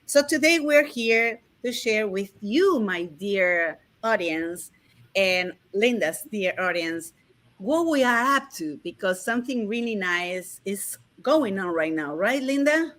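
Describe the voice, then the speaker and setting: A woman's voice: mid-range voice, animated, slow, fairly bright tone. A woman hosting an online interview show, introducing her guest in an effusive, gushing way. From USA. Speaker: host.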